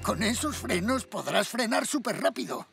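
An actor playing a cheerful, reassuring old grandfather zebra in a cartoon, with a creaky voice. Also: high-pitched, normal speed, over music, full character voice. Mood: encouraging. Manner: animated, reassuring. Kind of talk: cartoon dub acting.

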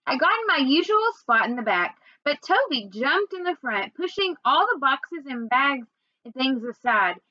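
Young female storyteller, high register, expressive read-aloud delivery, close dry room sound. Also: moderate pace, no room sound, lo-fi sound. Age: young adult. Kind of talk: read-aloud of a children's novel. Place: small indoor room at home.

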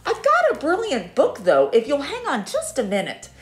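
A woman voicing a gentle, bookish young knight in a storybook read-aloud, polite and softly coaxing. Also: high-pitched, huge pitch swings, moderate pace. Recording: roomy echo.

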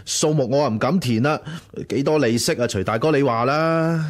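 An actor playing a humble, grateful hanger-on in a period radio drama, deferential and hesitant in manner. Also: male voice, mid-range voice, normal speed, lightly acted. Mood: humble, pleading. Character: humble, grateful, fawning male friend begging a loan. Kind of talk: Cantonese radio drama acting.

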